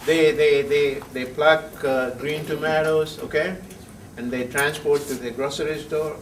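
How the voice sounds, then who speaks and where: A male chemistry professor, lecturing a class in a halting way, with many pauses and frequent checks of "right?". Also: a little high, steady tone, distant mic, a little hiss or hum. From Sri Lanka. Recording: echoey classroom heard from afar.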